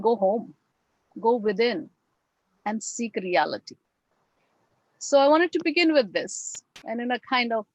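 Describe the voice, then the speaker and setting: A woman's voice: medium pitch, no room sound, highs cut off. Reflective Bengali-accented woman lecturing on a call. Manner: reflective, with frequent fillers and pauses. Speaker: guest lecturer. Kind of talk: academic talk on a video call. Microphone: video call.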